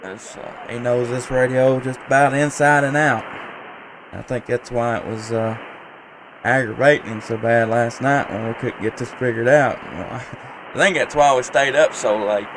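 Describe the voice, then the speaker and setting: A man hosting a casual live stream as an amateur radio operator, talking in a halting, folksy, rambling way. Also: medium pitch, steady tone, noisy background. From Tennessee, United States.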